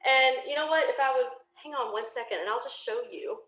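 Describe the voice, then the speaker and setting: A woman's voice: high-pitched, fairly quick, fairly bright tone, echoey room. A woman chatting informally as an interview guest, explaining her venture in detailed, rambling fashion. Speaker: podcast creator being interviewed. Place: indoor home office, remote video call.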